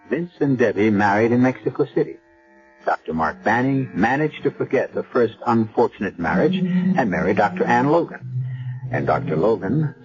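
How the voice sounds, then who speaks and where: Lively low-voiced radio narrator. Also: male voice. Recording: dull lo-fi radio broadcast with music.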